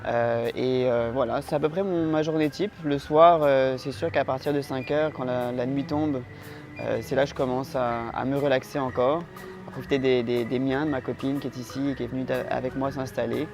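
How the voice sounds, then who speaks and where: A man from France answering interview questions in a calm, hesitant way, with frequent filler sounds. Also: mid-range voice, normal speed, vocal fry. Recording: music playing underneath.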